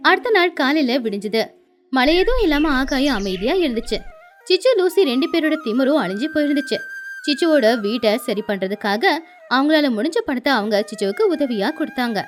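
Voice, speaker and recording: Lady telling a kids story, high singsongy voice that moves a lot, bit of music behind. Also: lively intonation, fairly quick. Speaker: narrator. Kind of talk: scripted children's story narration.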